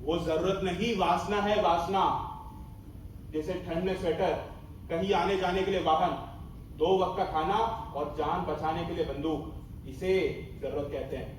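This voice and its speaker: A man's voice: sits high, fairly even intonation, unhurried. A young man acting a principled, stern Indian army major in a stage play, firm and declamatory, recorded from far off in a very echoey, noisy hall. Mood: stern conviction. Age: young adult. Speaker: student stage actor. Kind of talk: stage play acting in a one-act drama.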